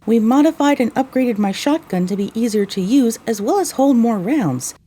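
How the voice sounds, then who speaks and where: A woman's voice: a little high, expressive, moderate pace, mellow, slightly rough. A woman playing a young mare adventurer, weary and wry, narrating her story in the first person for an audio drama.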